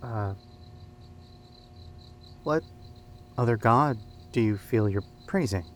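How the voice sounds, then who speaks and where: Man as earnest young camper, mid-pitched, questioning, casual drama dialogue, faint insect sounds. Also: slow, a little hiss or hum, natural voice. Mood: questioning, concerned.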